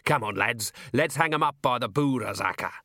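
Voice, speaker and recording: A hot-tempered dwarf baker acted by a male reader in a fantasy storybook. He is grumpy and blustering, full of grievance, with big swings in pitch. The recording is dry and close. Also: animated, brisk, bright, forceful tone, no echo. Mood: gruff, grudging. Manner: blustering, indignant.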